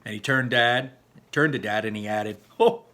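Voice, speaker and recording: A man reading a children's story aloud in an expressive, animated way with dramatic pauses. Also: on the low side. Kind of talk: animated children's book narration.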